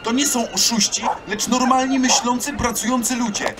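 A man's voice: extremely high pitch, steady tone, normal speed, very noisy. An actor playing a bitter, argumentative young townsman in an audio drama. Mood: indignant. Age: young adult.

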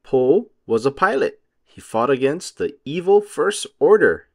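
Slow, lively man reading a children's storybook. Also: mid-range voice, soft background music. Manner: deliberate, clearly phrased reading for young children. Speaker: storybook narrator. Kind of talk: children's read-aloud narration.

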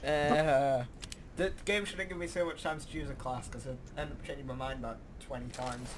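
Excitable young British man, noisy gaming recording. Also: mid-range voice, moderate pace. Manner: excitable, self-deprecating. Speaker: gaming video host. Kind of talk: casual chatty gameplay commentary with a friend. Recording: noisy amateur mic, game audio behind.

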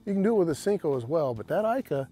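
Folksy fishing host on a boat. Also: male voice, fairly high pitch, fast talker. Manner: folksy, excited when landing fish. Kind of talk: outdoor fishing instruction. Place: on a bass boat on an open lake.

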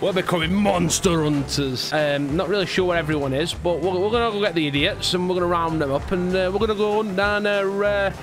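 A man's voice: high-pitched, a little fast, hardly any pauses. A man hosting a gaming video, chatting casually and jokily with swearing during online game roleplay. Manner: jokey, informal, swearing. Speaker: gaming video host and player. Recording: loud music and game sounds behind. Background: music, vehicle sounds.

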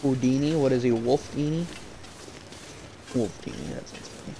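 A man casually commenting while he plays a game, in a calm, fairly monotone voice that drifts into rambling asides. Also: medium pitch, moderate pace, a little hiss or hum, rough recording. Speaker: gameplay streamer.

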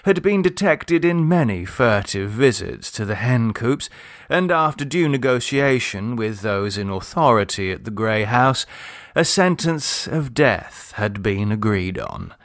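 A man reading a literary short story aloud in a measured, expressive storytelling manner. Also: medium pitch, dry recording, studio quality. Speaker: audiobook reader. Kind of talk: scripted literary story narration.

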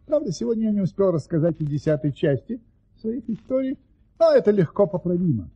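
An actor playing a grand, cheerful baron telling tall tales in a courtly, theatrical radio play manner. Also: male voice, high voice, big pitch swings, brisk, warm tone, husky, full character voice. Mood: gracious, proud. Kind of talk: Soviet radio play acting. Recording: dull lo-fi radio play recording.

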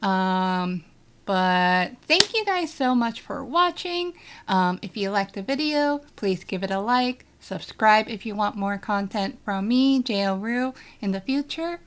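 A young woman talking casually in a reaction video from a small bedroom setup, relaxed and hesitant with many fillers. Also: medium pitch, unhurried, clean tone.

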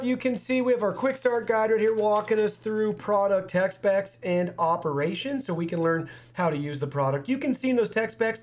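A young man presenting a product review in an upbeat, presenterly way in a small studio. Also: high-pitched. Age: young adult. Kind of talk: scripted product review to camera.

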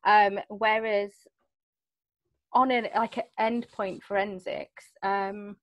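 A woman's voice: medium pitch, level tone, slow, dry recording. A British woman in her thirties, a guest on a video call, talking in a loose, self-deprecating, jokey way. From United Kingdom. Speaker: guest, security CEO. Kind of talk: casual interview.

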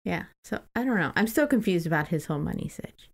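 A woman's voice: on the low side, lively intonation, quick pace, creaky. A woman co-hosting a podcast, chatting casually about books in an irreverent, freely swearing way. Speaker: podcast co-host. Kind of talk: casual book-discussion chat.

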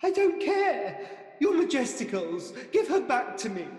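Melodramatic, vain pantomime dame played by a woman, high and swooping, in an echoey hall. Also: big pitch swings, measured pace, darkish tone. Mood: remorseful, pleading. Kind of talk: radio pantomime acting.

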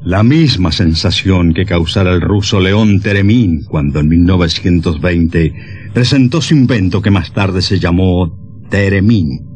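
A man's voice: deep voice, a little mellow, breathy edge, heavily acted. An actor playing a proud, irritable musician and inventor in his forties, theatrical and emphatic in old radio drama style.

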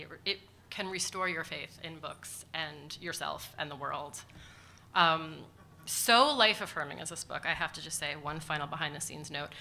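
A woman's voice: low voice, pushed and bright, slight background noise. A woman book editor speaking on a conference panel into a table microphone, enthusiastic and full of "um" fillers. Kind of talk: panel pitch and discussion. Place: large conference hall.

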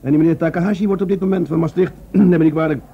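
An actor playing a modest, slightly bashful police adjutant in a Dutch radio drama, speaking deferentially. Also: male voice, fairly high pitch, steady tone, very fast, lightly acted. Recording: dull, faintly noisy radio recording.

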